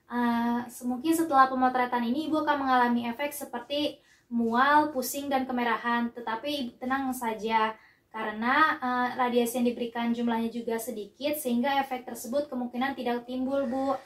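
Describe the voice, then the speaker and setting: Young woman, fairly high voice, careful polite step-by-step instruction, student demonstrator, echoey room. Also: steady tone, a little fast. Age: young adult. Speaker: dental student demonstrating a procedure. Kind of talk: instructional demonstration, scripted narration. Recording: echoey room with music behind.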